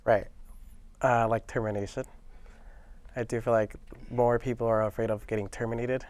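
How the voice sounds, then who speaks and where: A man appearing as a worker guest in an interview, giving short, hesitant, halting answers. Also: medium pitch, darkish tone, no room sound.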